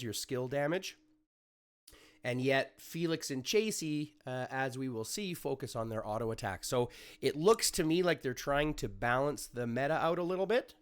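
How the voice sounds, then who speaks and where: A man with a slightly raspy voice, still getting over an illness, walking viewers through a strategy game in a chatty way, often correcting himself with fillers. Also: mid-range voice. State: recovering from illness. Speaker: gaming YouTuber. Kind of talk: casual game guide commentary.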